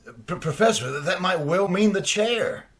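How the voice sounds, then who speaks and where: A man plays a timid, frightened accomplice in an old radio melodrama. His voice is extremely high and swoops wildly as he stammers and pleads. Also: expressive, normal speed. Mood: nervous. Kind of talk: old-time radio drama acting.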